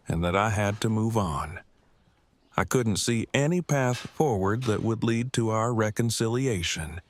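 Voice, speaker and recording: An actor playing a wordy, earnest survivor in an audio drama, with stilted, formal and deliberate diction. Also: male voice, on the low side, dry recording, lightly acted. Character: verbose, formal, earnest man in love, post-apocalyptic survivor.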